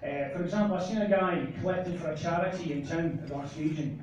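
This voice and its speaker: Middle-aged man, northeast Scottish accent, deadpan stand-up, fairly high voice, echoey club, filmed from the back. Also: fast, highs cut off, a little hiss or hum. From northeast Scotland. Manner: deadpan, self-mocking, gestures a lot while talking. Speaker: stand-up comedian. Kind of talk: stand-up comedy set. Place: small comedy club, indoors. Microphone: handheld mic, filmed from far back in the crowd. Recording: echoey club from far off, crowd laughter.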